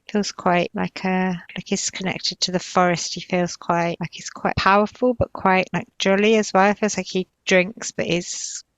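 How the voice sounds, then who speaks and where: A woman narrating visions as a healer in a session, tentative and halting, improvising as she searches for each image. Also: on the low side, flat delivery, no room sound, poor audio. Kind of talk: slow, improvised trance narration.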